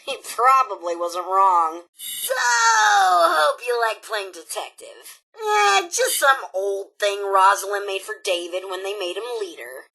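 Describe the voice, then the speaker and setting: A woman's voice: medium pitch, pushed and bright, heavily acted, low-quality recording. A woman voicing a sassy, impatient female robot in a video game, theatrical and sarcastic, with exaggerated swings of emphasis. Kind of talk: video game character dialogue.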